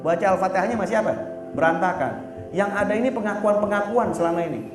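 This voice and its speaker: A man's voice: high-pitched, fast talker. A man preaching a sermon in a casual, blunt and teasing way, often stopping to search for words. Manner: casual and blunt, teasing, fillers like "apa namanya". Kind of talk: religious sermon. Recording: echoey room with music behind.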